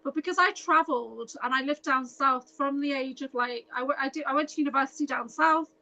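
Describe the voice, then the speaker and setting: A middle-aged woman with a softened Scouse accent, chatting casually as a podcast guest and laughing often. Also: sits high, a little fast, poor audio. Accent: light Scouse, softened northern English. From near Liverpool, England.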